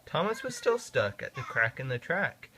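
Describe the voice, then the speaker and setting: A father reading a children's picture book aloud in an expressive, sing-song way. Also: medium pitch, moderate pace, noisy background. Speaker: father reading to his kids.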